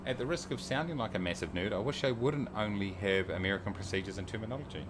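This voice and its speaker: A man's voice: on the low side, fast, background noise. A man chatting casually on a livestream, joking and reading viewer comments aloud as he flies. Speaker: streamer. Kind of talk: casual livestream chat while flying a sim.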